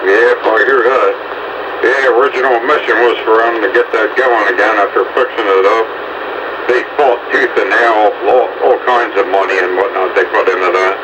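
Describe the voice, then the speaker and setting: A man's voice: fairly low pitch, steady tone, moderate pace, highs cut off. A man chatting casually and in a folksy, rambling way over two-way radio. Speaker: amateur radio operator. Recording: distant noisy two-way radio signal.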